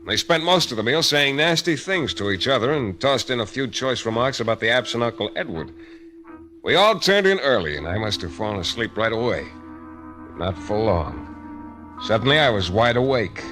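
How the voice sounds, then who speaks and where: An actor playing a tough, world-weary private detective who narrates a hardboiled 1940s-style radio drama with clipped, sardonic delivery. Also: male voice, fairly low pitch, a bit bright. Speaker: detective and first-person narrator.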